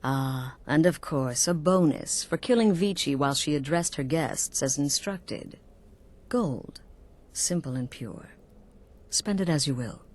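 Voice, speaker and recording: An actress playing a cool, calculating female leader of an assassins' guild in a fantasy game, deliberate and conspiratorial. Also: deep voice, animated, a little mellow. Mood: cool, businesslike. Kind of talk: scripted fantasy video game dialogue.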